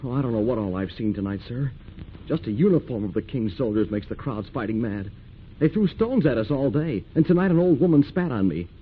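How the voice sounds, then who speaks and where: An actor playing a rattled new soldier on guard, stammering and deferential, in old radio drama style. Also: male voice, mid-range voice, very animated, brisk, natural voice. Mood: agitated. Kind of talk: 1940s radio acting. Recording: muffled, hissy vintage radio recording.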